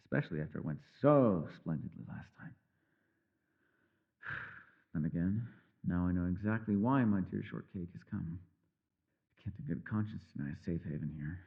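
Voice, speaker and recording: Man playing a low-voiced, musing, wry elf mage. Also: animated, moderate pace, creaky, long pauses, phone-quality sound, exaggerated voice. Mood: brooding, wry. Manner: musing, wry, formal old-fashioned phrasing. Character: mysterious male elf mage, wry, patient mentor. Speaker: voice actor. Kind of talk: fantasy ASMR roleplay acting.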